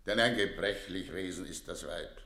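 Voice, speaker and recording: An actor playing a frail old earl who counsels royalty, solemn, emphatic and pleading, in a classical radio play. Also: male voice, deep voice, moderate pace, gravelly, sharp tone, echoey room, exaggerated voice. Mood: earnest pleading. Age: older.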